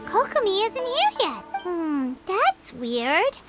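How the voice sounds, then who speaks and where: A woman voicing a small, childlike fairy companion in a video game, chirpy, inquisitive and expressive, with music behind her. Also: very high-pitched, takes it slowly, exaggerated voice. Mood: puzzled.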